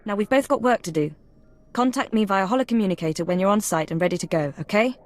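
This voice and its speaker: An actress playing a guarded, efficient intelligence watcher in a video game, speaking in a businesslike way. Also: female voice, medium pitch, natural voice. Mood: brisk, guarded. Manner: brisk, businesslike.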